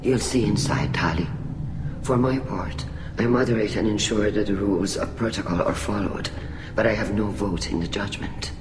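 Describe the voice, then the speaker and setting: An actress playing a dignified, caring middle-aged alien admiral in a video game, with a deep, husky voice and measured, formal speech. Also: female voice. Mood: grave, reassuring. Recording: echoey hall with clear noise.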